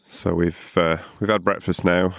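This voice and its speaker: A man co-hosting a podcast, chatting casually at a campsite in a morning voice hoarse from drinking, relaxed and rambling, repeating words as he goes. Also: low voice, moderate pace, no room sound. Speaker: podcast co-host. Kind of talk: casual chat outdoors at a campsite.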